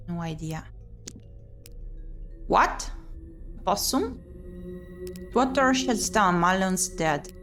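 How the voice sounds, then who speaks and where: A woman's voice: medium pitch, animated, very slow, long pauses, a bit bright. A woman doing let's play commentary, reading visual novel text aloud expressively with muttered reactions. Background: game music.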